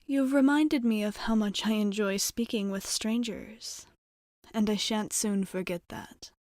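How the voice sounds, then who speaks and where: A woman plays a female vampire bartender in an ASMR roleplay, speaking slowly and softly, close and dry. She is guarded yet fond of humans, with formal, old-fashioned politeness and a tendency to slip into whispers. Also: mid-range voice, fairly even intonation, no echo, natural voice. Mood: fond, welcoming. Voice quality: soft, intimate, breaking into whispers.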